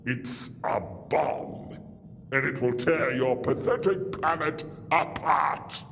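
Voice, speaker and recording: An actor playing a grandiose, vengeful alien warlord in an audio drama, declaiming with theatrical menace. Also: male voice, mid-range voice, slow pace, fairly bright tone, a little hiss or hum. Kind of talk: audio drama villain acting. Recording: long reverb with music underneath.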